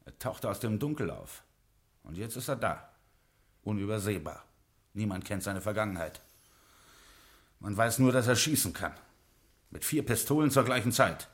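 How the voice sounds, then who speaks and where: An actor playing a smooth-talking Prohibition gangster boss in a 1950s radio crime play, persuasive and jovial. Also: male voice, on the low side, lively intonation, moderate pace, long pauses, close to an everyday voice.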